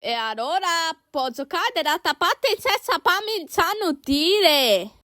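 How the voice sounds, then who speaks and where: A woman's voice: very high-pitched, sing-song, takes it slowly, bright, forceful tone, dry recording, clean studio sound. A woman doing an amateur cartoon fan dub, acting out several characters in an exaggerated, exclamatory way.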